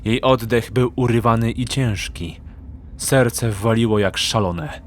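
A man's voice: mid-range voice, slow, slight background noise. A man narrating a fantasy audiobook in a dramatic, scripted storytelling style.

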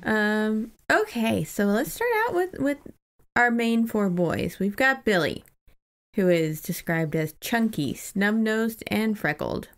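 A woman's voice: mid-range voice, animated, takes it slowly. A woman hosting a podcast, chatting casually about a book in an informal, joking way. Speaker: podcast host. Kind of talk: casual book discussion chat.

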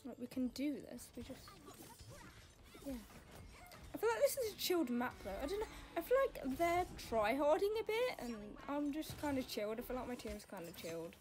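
Brief: young female streamer, higher voice, rambling chatty commentary, audible breathing, game music underneath. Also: sing-song, normal speed. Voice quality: audible loud breathing between sentences. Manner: rambling, chatty, self-deprecating. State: voice going croaky. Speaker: gaming channel host. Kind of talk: casual gameplay commentary.

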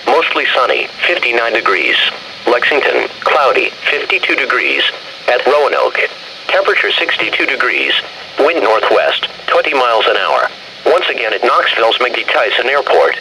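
Automated male weather announcer: synthetic, measured, mid-range voice, heard over a handheld radio with plain background noise. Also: mid-range voice, very animated, bright, forceful tone. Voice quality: synthetic text-to-speech voice. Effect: played through a handheld radio speaker. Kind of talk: scripted weather bulletin narration. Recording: noisy handheld radio speaker in car.

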